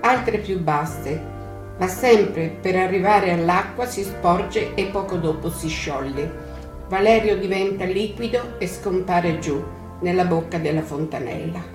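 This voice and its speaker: A woman giving a slow, measured dramatic reading with lingering pauses. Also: lowish voice. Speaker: reader. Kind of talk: dramatic reading over music. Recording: echoey room with music underneath.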